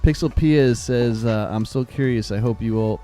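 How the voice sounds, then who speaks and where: A man's voice: mid-range voice, normal speed, warm tone, faint music behind. A male podcast host chatting casually and enthusiastically on a video call. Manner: enthusiastic, effusive. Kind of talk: casual chat.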